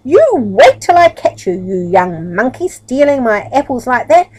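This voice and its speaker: A woman voicing an angry male storybook farmer who shouts furiously at thieves. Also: fairly high pitch, sing-song, normal speed, husky, pitched above the performer's own voice, poor audio. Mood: angry, threatening.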